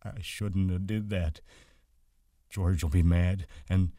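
A man's voice: sits low, steady tone, dark tone, dry recording, studio quality. A man voicing a childlike, simple big man from a storybook, eager and easily panicked, with ungrammatical rustic speech. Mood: frightened, bewildered. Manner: ungrammatical rustic speech, slow-witted and repetitive.

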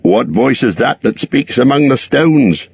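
A man's voice: mid-range voice, expressive, unhurried, gravelly, breathy edge, dry recording, voice pushed up, exaggerated voice. A man voicing an earnest grown male rescuer who speaks with urgency. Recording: dull lo-fi recording.

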